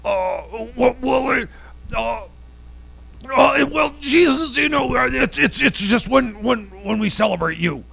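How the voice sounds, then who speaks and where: This is a man voicing a jumpy hillbilly on a comedy radio show. The voice sits high, with a bright, strained, husky edge and heavy gravel. He stammers through every thought and keeps blowing up into shouts. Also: expressive, a little slow, exaggerated voice. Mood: flustered. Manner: stammering, flustered, bursts into shouting. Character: agitated hillbilly man Jeb, excitable. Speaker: co-host. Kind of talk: improvised comedy radio bit.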